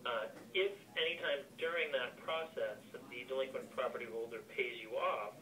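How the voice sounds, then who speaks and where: A man's voice: mid-range voice, moderate pace, sharp tone, far from the mic, low-quality recording. A man explaining investments like a broker to buyers in a business phone conversation.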